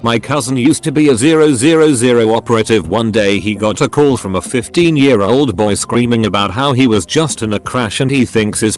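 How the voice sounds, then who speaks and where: A man reading forum stories aloud as a scripted online narration. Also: fairly low pitch.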